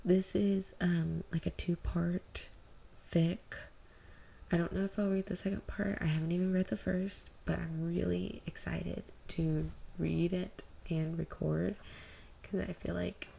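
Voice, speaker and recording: A woman with a fairly low, very soft-edged, mellow voice. She is an amateur reader of fan fiction, informal in the way she presents it. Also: level tone, a little slow, vocal fry, slightly rough. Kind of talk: casual intro and fan fiction narration.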